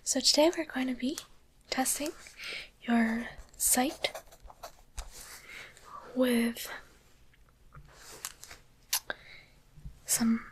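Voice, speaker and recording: A woman playing a softly teasing, curious demon doctor in a coaxing, deliberate whisper for an ASMR roleplay, close to the microphone. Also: sits high, a little hiss or hum.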